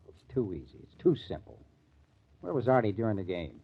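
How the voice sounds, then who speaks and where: Skeptical radio detective, lowish voice, really tinny old broadcast sound. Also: male voice, very animated, a little fast, gravelly, slightly rough, full character voice. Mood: probing, skeptical. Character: probing, skeptical male murder investigator in crime drama. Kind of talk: 1940s radio acting. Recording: tinny, narrow-band old radio recording.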